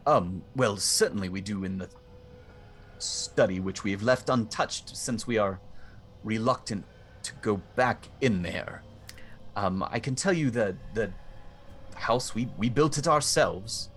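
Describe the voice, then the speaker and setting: A man's voice: on the low side, unhurried, fairly bright tone. A man playing an older homeowner who speaks formally and hesitantly, with many ums and restarts. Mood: polite. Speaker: game master.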